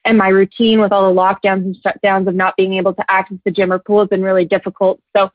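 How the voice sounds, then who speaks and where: A woman's voice: medium pitch, flat delivery, fast talker, no room sound. A young woman from Canada leaving a casual, personal voicemail as a caller, speaking earnestly and appreciatively. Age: young adult. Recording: dull, lo-fi voicemail recording.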